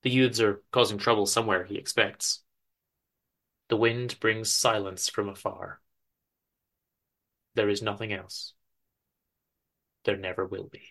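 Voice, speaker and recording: A man giving a theatrical, emphatic dramatic reading of a story, with deliberate pauses. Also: fairly low pitch, flat delivery, a bit bright. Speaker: host reading his show's story.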